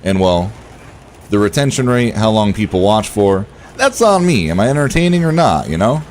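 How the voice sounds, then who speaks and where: A man with a relaxed voice, chatting casually to viewers as he streams a game and thinks aloud. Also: lowish voice, moderate pace, a little hiss or hum. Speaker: game livestreamer. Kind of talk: casual livestream chat over gameplay.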